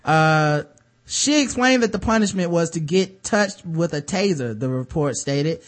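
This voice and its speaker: A man's voice: fairly high pitch, expressive, a little slow. A man with a light voice hosting a casual podcast, reading news stories aloud and breaking off for wry, sarcastic comments. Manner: wry, sarcastic asides between read-out passages. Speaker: podcast host.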